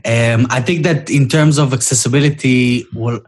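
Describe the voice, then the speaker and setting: A man's voice: mid-range voice, fairly even intonation, moderate pace, slight background noise. A man from Israel joining a casual panel discussion, speaking hesitantly with many fillers. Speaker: podcast panelist.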